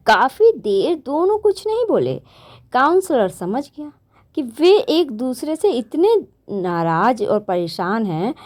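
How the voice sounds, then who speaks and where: Woman storyteller, conversational narration, self-corrections, high animated voice. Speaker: storyteller host.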